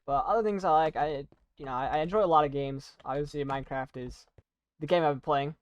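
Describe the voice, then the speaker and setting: A young adult chatting casually while playing and commentating on a video game, rambling in a halting, self-deprecating way. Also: mid-range voice, dry recording, highs cut off. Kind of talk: casual gaming commentary and chat.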